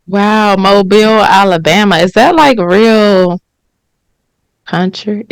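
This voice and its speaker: Woman, podcast host, encouraging interviewer, gravelly fry, dry close sound. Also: medium pitch, slow pace, dry recording. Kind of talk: casual interview chat.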